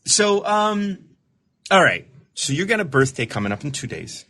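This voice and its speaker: Male recovery mentor, casual and supportive, animated pitch, stammers and restarts. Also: moderate pace. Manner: laid-back, stammering restarts, laughs easily.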